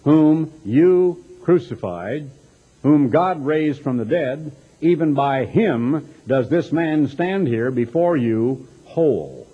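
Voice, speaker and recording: A dull, lo-fi recording of a man preaching with a Texas accent. His voice is fairly high and husky, swinging widely as he declaims scripture and adds emphatic, pointed commentary. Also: expressive, takes it slowly. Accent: American, Texas. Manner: emphatic, dramatic delivery with rhetorical questions and mocking asides. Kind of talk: sermon with scripture reading and commentary.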